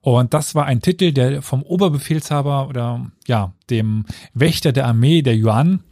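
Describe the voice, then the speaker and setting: A man's voice: mid-range voice, normal speed, warm tone, no echo. A man hosting a casual history podcast, explaining at length with fillers and dry self-mocking asides. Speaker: podcast host telling history.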